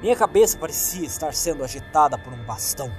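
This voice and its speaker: A man's voice: fairly high pitch, animated, fast talker, faint music behind, low-quality recording. A man with a Brazilian accent reads a scripted horror story in a dramatic, suspenseful manner. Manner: suspenseful, acts out the lines.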